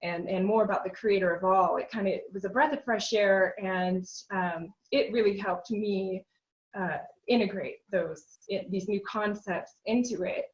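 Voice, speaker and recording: A woman talks at an ordinary pitch as a podcast guest, telling a long story earnestly. She rambles with "um" and "you know" along the way. The recording sounds a little dull and lo-fi. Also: normal speed. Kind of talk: casual interview chat.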